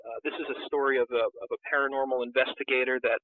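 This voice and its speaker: A man's voice: medium pitch, level tone, quick pace. A man speaking as an interview guest, a book publisher, answering casually over a tinny call line.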